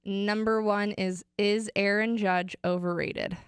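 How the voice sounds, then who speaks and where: An American woman co-hosts a casual sports talk show, chatty and quick as she fires off questions. She is a little sick and losing her voice, yet her tone comes through very clean and smooth. The studio sound is dry and close. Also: mid-range voice, fairly even intonation, no room sound. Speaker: co-host.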